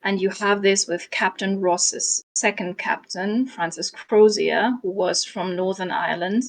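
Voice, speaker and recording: A young adult woman casually telling a history story, with frequent ums and soft laughs. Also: mid-range voice, steady tone, measured pace, rough recording. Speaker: guest storyteller.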